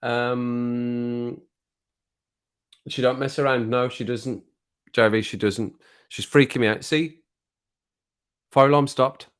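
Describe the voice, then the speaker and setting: A man's voice: medium pitch, fairly even intonation, slow pace, pauses often, clear, resonant tone. A man hosting a casual live stream from home over a drink, greeting viewers in the chat and laughing at his own jokes. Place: at home, living alone.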